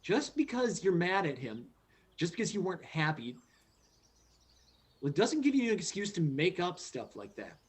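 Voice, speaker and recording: A man playing an earnest, anxious but insistent son in a radio play, with a husky, hesitant delivery. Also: high-pitched, big pitch swings, moderate pace, long pauses, exaggerated voice. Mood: hurt, insistent. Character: earnest, anxious, insistent child of a dead father.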